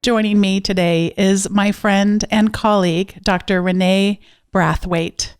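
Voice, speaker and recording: A woman's voice: medium pitch, steady tone, a little slow, clean tone. A woman hosting a podcast interview, speaking in a friendly, conversational way. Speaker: podcast host.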